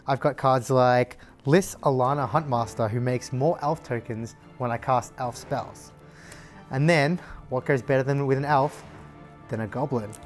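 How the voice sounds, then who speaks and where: Man explaining game strategy over light music. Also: mid-range voice. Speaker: game player on a gaming show. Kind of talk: casual explanation of strategy to camera.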